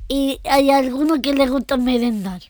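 Hesitant, high-voiced woman from Argentina. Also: a little mellow, dry recording, clean studio sound. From Argentina, Buenos Aires. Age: 40s. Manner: hesitant, halting, short answers. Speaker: interview guest. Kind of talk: simple answers in an interview.

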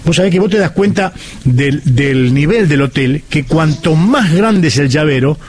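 A man's voice: on the high side, expressive, moderate pace, husky. A man with an Argentine accent hosting a show in casual, joking chat. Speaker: radio or TV show host.

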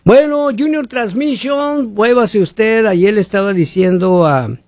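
A man's voice: high voice, unhurried, a little mellow, no echo, clean studio sound. A man from Mexico hosting talk radio, rambling through commentary and reading sponsor ads, often asking listeners rhetorical questions. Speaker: radio host. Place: living in the United States.

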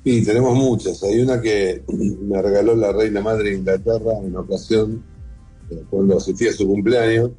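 A man's voice: lowish voice, fairly even intonation, moderate pace, dark tone, faint music behind, low-quality recording. A middle-aged man with an Argentine accent, answering conversationally as the guest in an interview. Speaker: interview guest, whisky museum founder and collector.

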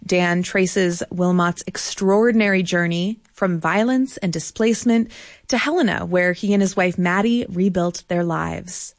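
Clear, even-voiced woman radio host. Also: lowish voice, no echo, clean studio sound. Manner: measured, clear read of sponsor credits. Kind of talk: scripted radio introduction and interview questions.

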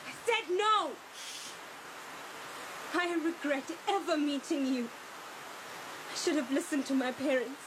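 A woman voicing a tired young mother, grieving and defiant. Her voice is very high. A faint hiss sits under it. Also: lively intonation, unhurried, long pauses. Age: young adult. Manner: pleading, then resolute.

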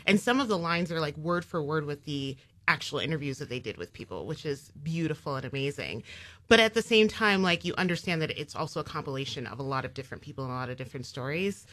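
A woman's voice: sits low, fairly bright tone. A female stage actor being interviewed, earnest and thoughtful. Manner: earnest, thoughtful, light laughs. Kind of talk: casual interview.

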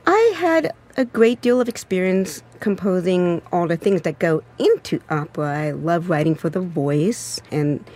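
A woman's voice: lowish voice, lively intonation, unhurried, few pauses, darkish tone. A woman, a composer, explaining her work thoughtfully in an interview. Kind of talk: interview answers.

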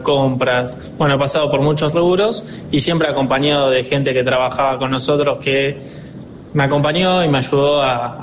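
A man in his twenties with an Argentine accent, answering interview questions as a dealership director, earnest and upbeat, often pausing on fillers. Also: mid-range voice, a little hiss or hum. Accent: Argentine Spanish. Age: 20s.

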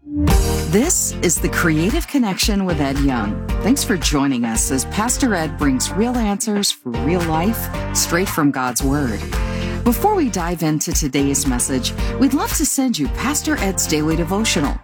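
A man's voice: fairly high pitch, big pitch swings, measured pace. A man reading a scripted radio program introduction as the announcer. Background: music bed.

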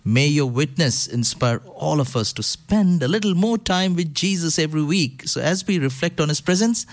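A man's voice: on the high side, expressive, normal speed. A man hosting a faith radio show, thanking guests and introducing the next song.

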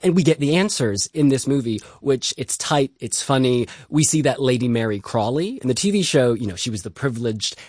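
Expressive man giving film opinions. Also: mid-range voice, fairly quick, hardly any pauses, husky, no echo, studio quality. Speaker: guest film critic. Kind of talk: casual opinionated discussion.

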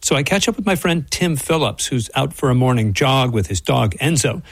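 A man narrates like a radio feature reporter, with a noticeably gravelly edge. The sound is dry and close. His delivery is wry and playful, fond of wordplay within a scripted read. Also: medium pitch, normal speed, no room sound. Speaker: radio correspondent.

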